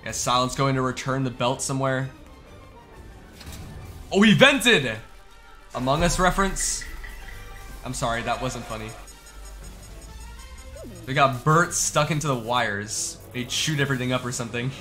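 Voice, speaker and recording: A young man giving casual reaction commentary as a gaming YouTuber, informal and slangy, thinking out loud as he goes. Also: medium pitch, steady tone, moderate pace, faint music behind.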